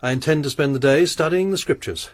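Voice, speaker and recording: A man playing a courteous, pious lodger with a quick, light voice. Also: fairly high pitch, no room sound, natural voice. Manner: soft-spoken, courteous, formal. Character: polite pious male lodger, quietly unsettling. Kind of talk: radio drama acting.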